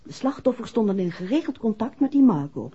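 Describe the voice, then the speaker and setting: An actress playing a composed, caring detective's wife in a 1960s radio play, with a husky voice. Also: female voice, on the high side, big pitch swings, a little fast, dark tone, exaggerated voice. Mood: explaining. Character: composed, caring detective's wife recounting events to her husband. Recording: dull, muffled 1960s radio recording.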